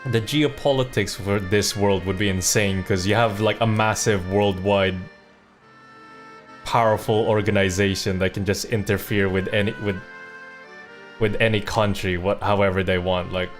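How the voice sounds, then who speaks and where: A man's voice: fairly low pitch, fairly even intonation, moderate pace. A man livestreaming a game, giving casual, rambling commentary in an informal way. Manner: rambling, informal, mildly profane. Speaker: streamer. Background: game music.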